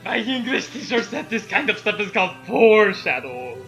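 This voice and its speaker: A man with a high and very sharp, bright voice joins a group story reading. He tosses in jokey, geeky commentary and reads bits aloud in a mock-serious way. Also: big pitch swings, normal speed, soft background music. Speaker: co-reader and commentator. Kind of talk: casual joking commentary and mock read-aloud.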